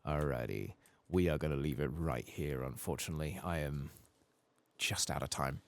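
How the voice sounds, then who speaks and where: A soft-spoken man muttering playful, sweary, self-mocking ASMR commentary as he hosts a video game playthrough. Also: a bass voice, normal speed, creaky. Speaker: host of a gaming playthrough channel.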